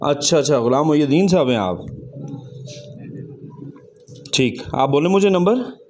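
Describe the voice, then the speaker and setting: A man's voice: fairly high pitch, lively intonation, unhurried, a little mellow, faint music behind. A man talking on a phone call in a polite, deferential manner, often repeating himself. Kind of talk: phone conversation. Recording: clearly noisy field recording.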